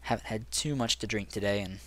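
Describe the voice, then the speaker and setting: Raspy-voiced man chatting while gaming. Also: lowish voice, brisk. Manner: rambling, chatty, many ums. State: sore throat. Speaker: gaming channel host. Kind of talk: casual let's play commentary.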